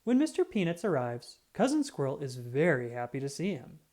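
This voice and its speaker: A woman reading a children's storybook aloud in an expressive, unhurried way. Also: deep voice. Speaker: children's book reader.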